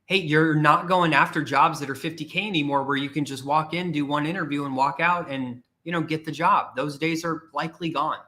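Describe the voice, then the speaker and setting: Emphatic, bright-voiced male career coach. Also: fairly high pitch, steady tone, brisk, hardly any pauses. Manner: emphatic and repetitive, challenging the listener directly. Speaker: podcast host and career coach. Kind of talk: motivational livestream talk.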